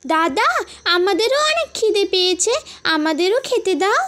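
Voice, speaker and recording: A woman's voice: very high voice, expressive, a little slow, a bit bright, pitched above the performer's own voice, heavily acted. A woman voicing a little storybook bird that asks politely and pleadingly for food.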